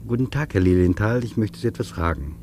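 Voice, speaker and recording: An actor playing an earnest, reflective traveller in a scripted radio feature, speaking deliberately and rhetorically. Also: male voice, lowish voice, animated, a little mellow, a little hiss or hum, lightly acted. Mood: earnest, circling. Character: earnest reflective male traveller recounting an imagined interview. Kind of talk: scripted German radio feature, literary narration.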